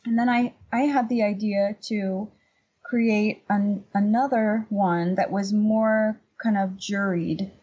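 A woman with a husky voice giving casual, conversational answers as an interview guest. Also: medium pitch, steady tone, takes it slowly, dark tone, highs cut off. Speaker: podcast guest, painter and workshop teacher.

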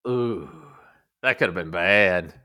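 A man's voice: low voice, takes it slowly, bright, forceful tone. A man co-hosting a podcast, chatting casually in a folksy, conversational way. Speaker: podcast co-host. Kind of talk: casual chat.

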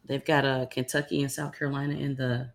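A young woman hosting a casual medical podcast, chatting in a relaxed way with plenty of slang and fillers, her voice a little raspy from the early morning. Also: a bass voice, fairly quick. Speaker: podcast host. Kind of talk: casual chat.

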